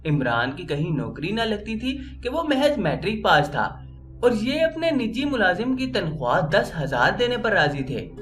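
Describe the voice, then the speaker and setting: A man's voice: high voice, sing-song, unhurried, fairly bright tone. A man reading a scripted, emotional moral story as an audio narrator, with music playing underneath. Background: background music.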